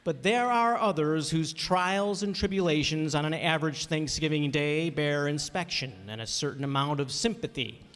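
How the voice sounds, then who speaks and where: A man's voice: on the high side, fairly bright tone. A man playing a 1950s radio announcer with a polished, declamatory delivery. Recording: long hall reverb.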